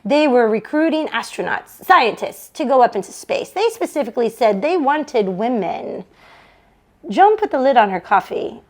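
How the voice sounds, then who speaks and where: A woman hosting a book club read-along, reading a novel aloud to an online audience with expressive delivery. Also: on the high side, moderate pace.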